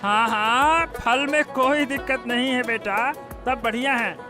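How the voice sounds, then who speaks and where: A man's voice: extremely high pitch, takes it slowly, over music. A man playing a curious, gullible village fruit seller in a cartoon story, chatty and exclaiming. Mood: friendly, curious.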